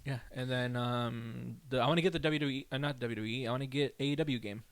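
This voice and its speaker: A man's voice: medium pitch, fast talker, smooth, no echo. A man co-hosting a podcast, chatting casually with short, easygoing replies and laughs. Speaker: podcast co-host. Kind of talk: casual chat.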